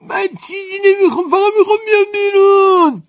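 A man voicing a trapped old jar mender who cries out in a high, strained voice. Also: fairly even intonation, no room sound. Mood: desperate.

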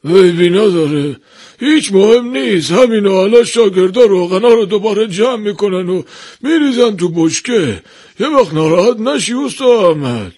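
A man's voice: sits high, darkish tone, voice pushed up. A man voicing a workshop master craftsman who strains to stay patient and polite, speaking emphatically and placatingly in a storybook tale. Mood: reassuring.

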